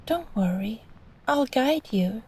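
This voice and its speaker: A kind female fox spirit from a bedtime storybook, voiced by a woman, speaks slowly and tenderly. The voice is soft, breathy and mellow, always reassuring, with faint noise behind it. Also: on the high side, lively intonation, low-quality recording. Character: kind, gentle magical female kitsune fox.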